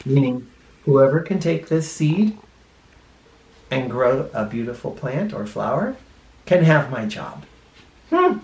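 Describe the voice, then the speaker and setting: Animated, unhurried male storyteller in echoey room. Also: medium pitch. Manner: explains words simply, with dramatic pauses. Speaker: first grade teacher reading a picture book aloud. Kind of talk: expressive children's storybook read-aloud with simple asides. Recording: roomy, echoing room sound.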